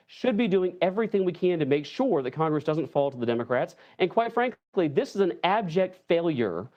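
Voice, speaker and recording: A man making a forceful, indignant political point in a TV clip. His voice sits on the higher side, and the broadcast audio sounds dull and lo-fi. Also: big pitch swings, brisk. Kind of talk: TV interview comment.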